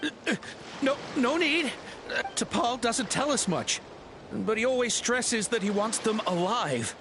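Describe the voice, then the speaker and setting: A man's voice: very high voice, animated, unhurried, noisy background, full character voice. A man voicing a cowardly, nervous henchman in a video game, hesitant and stammering as he tries to appease. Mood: nervous, deferential. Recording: game music behind the voice.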